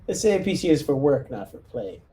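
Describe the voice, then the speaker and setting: A man's voice: a little high, very animated, a little fast, dark tone. A man chatting casually as a player in a tabletop roleplaying game, thinking aloud in a tentative way. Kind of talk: casual in-character group chat. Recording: home recording with faint noise.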